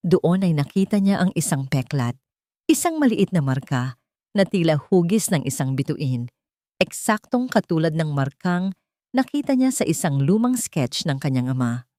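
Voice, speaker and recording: A woman reading scripted dramatic fiction as a narrator, in a solemn, deliberate way. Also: low voice, sing-song, warm tone, no room sound, studio quality. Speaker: story narrator.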